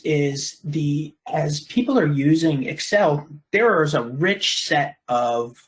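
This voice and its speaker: Higher-pitched man presenting software. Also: low-quality recording. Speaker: webinar presenter.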